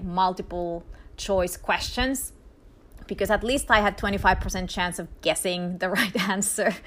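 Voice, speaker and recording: A woman's voice: mid-range voice, a bit bright. Chatty Finnish-accented woman sharing testimony. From Finland. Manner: chatty, many "like"s and "um"s, frequent light laughs. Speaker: podcast host, dance minister. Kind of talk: casual personal testimony.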